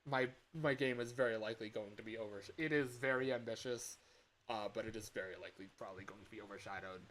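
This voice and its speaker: A man in his early twenties chatting casually on a livestream as a game developer, rambling in an excitable, self-deprecating, giggly way. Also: lowish voice, fast talker, rough recording. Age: early 20s. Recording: hissy home livestream audio.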